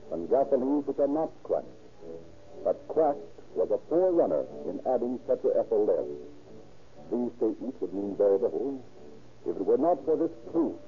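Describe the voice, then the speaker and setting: Tinny-sounding 1930s radio announcer man. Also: mid-range voice. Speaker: announcer and narrator. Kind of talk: 1930s radio scripted narration and advertising.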